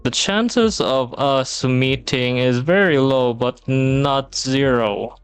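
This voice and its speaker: Slow-talking young man, soft music behind. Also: mid-range voice, clean tone, dry recording. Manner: relaxed, rambling, many ums and restarts. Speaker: streamer and teacher. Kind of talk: casual chat while drawing on stream. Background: soft background music.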